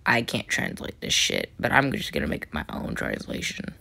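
A woman's voice: extremely low pitch, wildly swooping pitch, normal speed, creaky, sharp tone. A woman doing a casual solo comic dub, dropping self-deprecating, sweary asides. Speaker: comic dubber.

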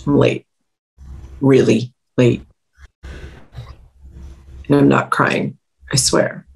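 A woman's voice: rumbling low, flat delivery, very slow, creaky, darkish tone, slightly rough, heavily acted. A woman playing a proud, guarded veteran Muay Thai fighter at a script reading. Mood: anxious, guarded. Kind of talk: screenplay table read acting.

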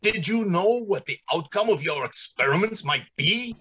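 An actor playing a stern, flustered factory director in old-time radio drama style, with halting, dramatic delivery. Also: male voice, high-pitched, lively intonation, bright, forceful tone, slightly rough, full character voice. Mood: stern, bitter. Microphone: video call.

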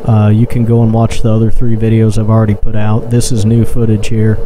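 A man's voice: lowish voice, monotone, a little fast, noisy background. A man giving a casual, rambling, unscripted voice-over as an exploration vlogger. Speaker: urban exploration vlogger, narrator.

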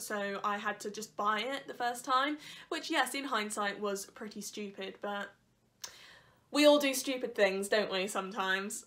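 A young woman with a stuffy, hay-fever voice talks straight into her camera from her living room. Her pitch sits a little high, and she keeps it candid and chatty, slipping in small laughs between points. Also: a bit bright. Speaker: YouTuber. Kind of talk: casual vlog chat. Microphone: camera on a desk in front of her.

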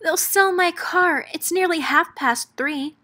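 A woman's voice: extremely high pitch, takes it slowly, pushed and bright, pitched above the performer's own voice, full character voice. Woman doing a frantic storybook spider lady. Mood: wailing. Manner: sing-song rhyming verse. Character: fussy excitable female spider shopper.

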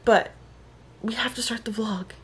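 A woman's voice: on the high side, fairly even intonation, a little slow. A young woman in her twenties vlogging casually from her car and a bowling alley, tired and laughing at herself. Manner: tired, giggly, self-mocking. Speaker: vlog host. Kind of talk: casual vlog chat. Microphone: handheld phone camera. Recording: car noise, music and crowd behind.

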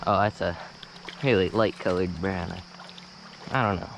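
A man giving low-key running commentary to himself while fly fishing, recorded outdoors on a head-mounted action camera. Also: creaky. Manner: low-key, short remarks to himself and the fish. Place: outdoors wading a small stream. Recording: action camera with loud stream noise. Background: rushing stream water.

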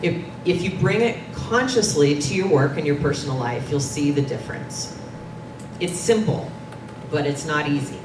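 Female panel presenter, middle-aged, conversational and halting, roomy sound with clear background noise. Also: sits low. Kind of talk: informal conference presentation. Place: dim conference meeting room. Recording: distant, echoey, noisy room recording.

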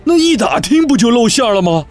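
An actor playing a timid, excitable royal attendant in a costume audio drama, flustered and exclaiming. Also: male voice, very high voice, brisk, soft background music. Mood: alarmed, puzzled. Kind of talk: Chinese costume radio drama acting.

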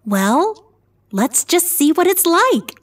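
A woman's voice: very high-pitched, sing-song, slow, full character voice. A woman playing an easygoing, curious space explorer in an audio drama.

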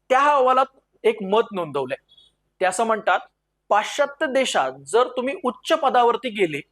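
A male exam lecturer explains his material step by step. He mixes English terms into his speech. He leans on key phrases and quotations, slowing down to stress them. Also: high voice. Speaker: civil services exam lecturer.

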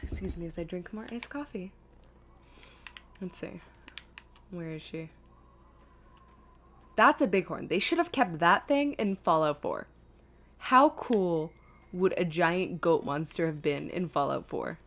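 A woman's voice: fairly low pitch, lively intonation, a little slow, pauses often, fairly bright tone, a little hiss or hum. A woman giving playful, chatty, casual commentary as she streams a game.

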